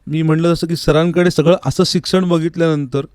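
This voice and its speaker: A man's voice: fairly high pitch, steady tone, a little fast, a little mellow, clear, resonant tone, no echo. A man speaking as an interview guest and music teacher, explaining things earnestly in a reflective, conversational style. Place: recording studio. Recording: dry, close studio sound.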